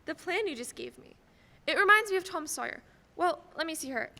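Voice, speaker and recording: A woman's voice: very high-pitched, animated, fairly quick, gravelly, exaggerated voice. A young woman playing an eager, chatty, quaintly proper second-class guardian angel in a staged radio play. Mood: earnest, bright. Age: teens to young adult. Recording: echoey hall, faint background noise.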